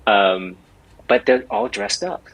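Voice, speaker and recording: A man's voice: mid-range voice, big pitch swings, slow, vocal fry, fairly bright tone, husky, rough recording. A middle-aged man, an entrepreneur guest in a casual interview, rambling with many restarts and tag questions. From United States.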